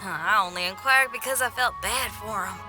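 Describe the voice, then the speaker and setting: A woman playing a spirited, tenacious young female rock musician in a video game, with a folksy, expressive way of talking. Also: medium pitch, moderate pace, natural voice. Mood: puzzled, chatty. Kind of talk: video game cutscene voice acting. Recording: faint music behind.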